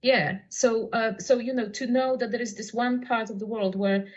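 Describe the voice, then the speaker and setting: A woman's voice: on the high side, fairly even intonation, normal speed. Woman, muffled lo-fi sound. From Poland. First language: Polish. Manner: thoughtful, searching for words as she goes. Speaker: writer and translator. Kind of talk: reflective conversation about language. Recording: dull lo-fi audio.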